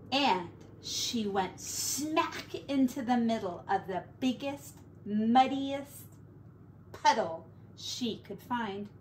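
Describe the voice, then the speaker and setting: A woman teacher reading a picture book aloud to children, slow and expressive. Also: a little high, slight background noise, rough recording.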